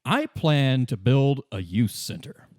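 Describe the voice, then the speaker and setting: A man voicing a jovial, scheming, heavyset union boss with effusive flattery and rambling salesmanship in a tabletop roleplay. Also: medium pitch, slow, no room sound, clean studio sound. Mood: slick, pitching. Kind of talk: improvised tabletop roleplay acting.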